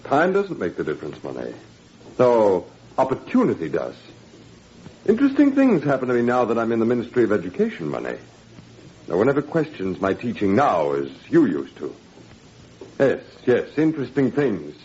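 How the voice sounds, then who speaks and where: An actor in a 1940s radio drama playing a smug, menacing Nazi education official with a sardonic, mock-courteous manner. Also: male voice, medium pitch, wildly swooping pitch, moderate pace, a little mellow, breathy edge, exaggerated voice. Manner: silky, sardonic, mock-courteous, drawling hesitations. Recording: dull, noisy 1940s radio recording.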